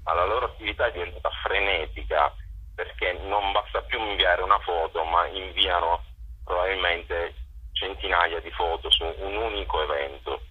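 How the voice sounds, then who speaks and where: A man's voice: sits low, normal speed, slightly rough, narrow, tinny audio. A man organizing cultural events, answers interview questions, hesitant and full of fillers.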